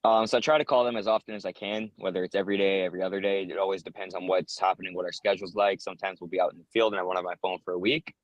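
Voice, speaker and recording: A man's voice: deep voice, little pitch movement, very fast, hardly any pauses, no echo, lo-fi sound. A young American man answering interview questions in an earnest, rambling way, with many ums and uhs. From United States. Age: young adult. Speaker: interviewee, soldier.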